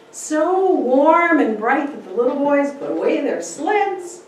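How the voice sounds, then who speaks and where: A woman giving a dramatic reading of a memoir, telling it in a deliberate, theatrical way with pauses for effect. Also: on the high side. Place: large echoey indoor room. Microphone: distant camera microphone. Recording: very echoey room, distant, faint noise.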